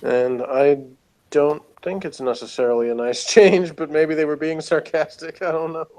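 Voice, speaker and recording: A man's voice: fairly high pitch, steady tone, normal speed, a little mellow. A man speaking as a co-reviewer in a casual, conversational discussion.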